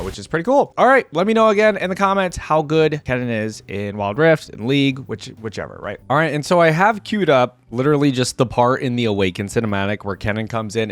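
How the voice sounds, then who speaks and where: Animated man giving casual gaming commentary. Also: mid-range voice. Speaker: reaction video host.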